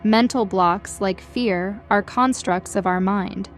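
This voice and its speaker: A woman reading a scripted guided meditation in a gentle, soothing, measured way, as a guide leading listeners. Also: medium pitch. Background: background music.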